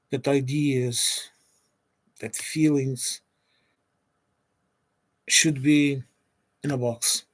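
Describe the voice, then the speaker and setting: Slow, hesitant Portuguese-accented man. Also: medium pitch. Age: 40s. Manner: hesitant, pauses often with restarts and fillers. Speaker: streamer. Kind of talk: unscripted solo livestream chat.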